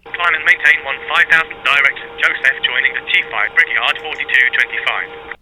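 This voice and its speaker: A man's voice: medium pitch, moderate pace, sharp tone. A man playing a polite, businesslike airline pilot in a simulator game, heard through a radio filter. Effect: aircraft radio filter. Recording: noisy aircraft radio transmission.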